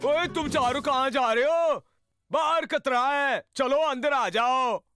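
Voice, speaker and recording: A man's voice: very high-pitched, slow pace, heavily acted. A man voicing a bossy, hot-headed little cartoon man with an exaggerated, commanding manner in a Hindi dub. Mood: instructing. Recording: loud music behind the voice.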